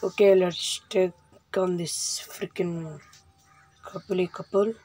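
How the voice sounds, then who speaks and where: Slow-paced woman commentating a game, noisy recording. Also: on the low side, fairly even intonation, mellow. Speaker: let's play host. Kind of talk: casual gameplay commentary.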